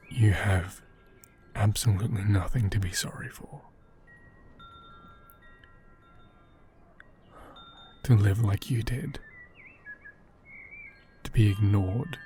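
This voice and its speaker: A man acting a gentle, caring doll owner in a soft ASMR roleplay, speaking tenderly and haltingly, often in whispers. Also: on the low side, a little hiss or hum. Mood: earnest, reassuring.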